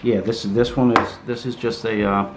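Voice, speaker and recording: A man patiently explains how to paint a door, using plain everyday comparisons. His voice is on the low side. He sounds distant from the mic in an echoey empty room, with sanding and rubbing noises clearly audible. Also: flat delivery, normal speed, noisy background. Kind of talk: casual hands-on instruction. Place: empty carpeted room in a house. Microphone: camera a few feet away.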